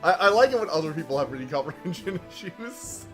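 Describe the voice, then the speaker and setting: Excitable male streamer with a slightly whiny, higher-pitched voice, reading game text and joking, faint game music behind. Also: fast talker. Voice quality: self-described whiny voice. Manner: excitable, laughs often, jumps between reading and chatting. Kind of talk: casual let's-play commentary, reading game text aloud.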